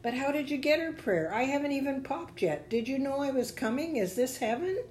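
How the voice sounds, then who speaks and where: A woman's voice: high voice, lively intonation, fairly quick, a little mellow, a little hiss or hum, voice pushed up. A woman voicing an earnest, brave little bubble in a children's story, emphatic and pleading. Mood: eager, curious.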